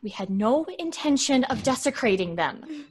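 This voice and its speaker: A woman's voice: high voice, animated, moderate pace, breathy edge. A woman playing a sensible, firm, protective young woman, earnest and emphatic, in old-time radio drama style.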